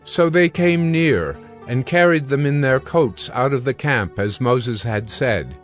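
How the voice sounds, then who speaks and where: A male narrator with a mid-range voice reads scripture as a dramatized story. His delivery is measured and solemn, and music plays beneath it. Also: medium pitch. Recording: background music under narration.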